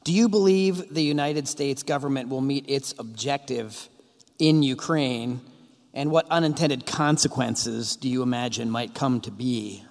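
A man's voice: medium pitch, a little slow. Male moderator in an echoing hall. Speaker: host asking questions. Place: lecture hall. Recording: reverberant hall.

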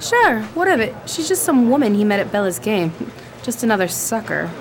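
Woman playing slangy 1920s dame, curt, dismissive, radio drama, slightly high voice, crowd noise. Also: sing-song, a little fast. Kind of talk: old-time radio drama acting.

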